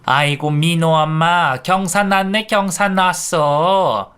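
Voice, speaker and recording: A man's voice: on the high side, takes it slowly, a bit bright, clean tone, pitched above the performer's own voice. Man putting on a gleeful old village neighbor. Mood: overjoyed. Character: elderly village neighbor, cheering and boastful.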